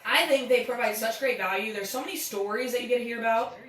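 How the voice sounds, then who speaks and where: A woman in her thirties with a raspy voice, co-hosting and giving casual live sports commentary in an enthusiastic, candid way. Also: a little high, fast, fairly bright tone, soft background music. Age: 30s. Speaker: podcast co-host and amateur sports commentator. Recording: roomy echo, distant from mic.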